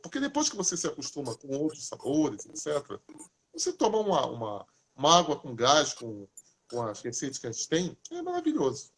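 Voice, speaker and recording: A man hosting a casual live talk, chatty and lively, asking questions and answering them himself. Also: fairly high pitch, fairly quick, a little hiss or hum. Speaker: host. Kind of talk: casual live talk giving diet tips.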